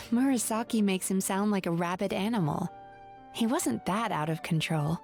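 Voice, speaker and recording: A woman playing a composed, analytical young female therapist in a video game, speaking in a measured, explanatory way. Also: mid-range voice, gravelly, darkish tone, faint music behind, natural voice. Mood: calm, analytical. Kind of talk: video game dialogue acting.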